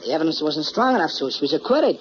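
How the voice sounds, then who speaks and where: Chatty photographer sidekick, high swingy voice, slangy old radio talk. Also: male voice, sing-song, brisk. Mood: eager. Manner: breezy, slangy. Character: eager, chatty male press photographer. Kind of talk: 1940s radio drama acting. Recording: muffled, lightly noisy old radio recording.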